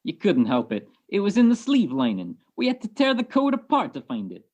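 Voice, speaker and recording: Woman acting a gruff, animated police sergeant. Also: mid-range voice, a little fast. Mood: matter-of-fact, dry. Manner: sardonic, slangy, blunt tough-cop talk. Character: gruff, wisecracking male police sergeant in homicide. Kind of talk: 1940s detective radio play acting. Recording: video call recording.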